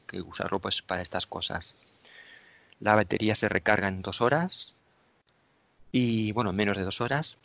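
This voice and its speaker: A man with a Spain Spanish accent, patiently explaining a gadget in a casual review over a group call, filling pauses with "eh". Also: fairly low pitch, gravelly, a bit bright. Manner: patient, explanatory, with frequent "eh" fillers. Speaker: tech meetup member. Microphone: video call. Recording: dull lo-fi online call audio.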